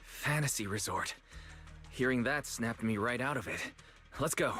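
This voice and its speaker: A man's voice: mid-range voice, lively intonation, moderate pace, sharp tone, soft background music. A man playing an earnest, easygoing young leader in a video game, speaking in a sincere, natural way. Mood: resolute. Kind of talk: scripted game voice acting.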